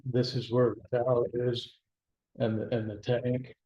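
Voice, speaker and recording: Man, board trustee, mellow voice, halting restarts, dull lo-fi meeting recording. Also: medium pitch, level tone. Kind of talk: town board meeting discussion. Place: town hall meeting room.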